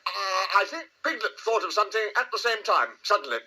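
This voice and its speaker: An artless, bumbling storybook bear, voiced by a man. The voice sits fairly high and lilts widely, with an earnest, simple manner. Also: very animated, brisk, pushed and bright, exaggerated voice. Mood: innocent, improvising.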